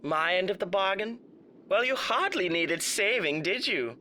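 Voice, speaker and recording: A man's voice: high voice, expressive, measured pace, bright, forceful tone, slight background noise, full character voice. A man voicing a suave, menacing radio demon in a theatrical roleplay monologue, mock-polite, pompous and taunting. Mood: scornful menace.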